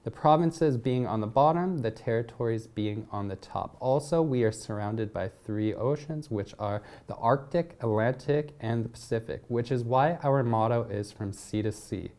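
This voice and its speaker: A man's voice: medium pitch, normal speed, hardly any pauses, darkish tone. A man from Canada giving a prepared, upbeat talk to students, rattling off facts in a list-like way. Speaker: English teacher.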